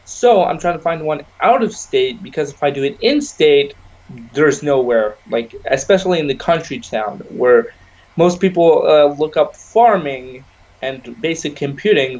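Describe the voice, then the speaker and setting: A man's voice: medium pitch, moderate pace, darkish tone, highs cut off. A young man whose first language is Arabic, co-hosting a casual podcast, rambling along and filling gaps with "you know". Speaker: podcast co-host. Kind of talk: casual chat.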